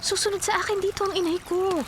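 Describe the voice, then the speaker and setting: High-voiced anxious young Filipina. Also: female voice, flat delivery, fast. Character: timid, anxious young village sweetheart. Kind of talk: Filipino radio drama acting. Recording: noisy running-water ambience.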